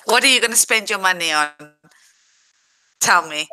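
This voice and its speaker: A woman's voice: mid-range voice, expressive, normal speed, bright, forceful tone, no echo, rough recording. A woman with a South African accent chatting casually on a livestream, laughing easily and answering viewers directly. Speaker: livestream host. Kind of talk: casual livestream chat reading viewer comments.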